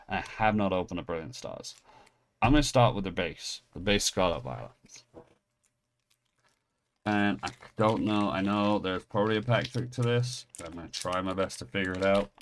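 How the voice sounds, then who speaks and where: A deep-voiced man in his thirties with an English accent that has picked up American edges. He rambles through an anecdote, then opens card packs, cheerfully calling himself clumsy. His talk wanders, restarts and doubles back like easy chat with viewers. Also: slight background noise. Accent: English, partly Americanized. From England. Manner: rambling, self-mocking, restarts often. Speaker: pack-opening channel host. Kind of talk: casual storytelling and card unboxing.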